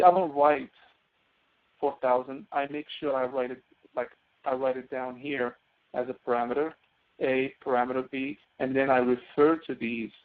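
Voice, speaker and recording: Gravelly male instructor on a phone-like line. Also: medium pitch. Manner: instructional, explaining step by step. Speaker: course instructor. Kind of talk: live spoken software tutorial. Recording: narrow phone-like audio.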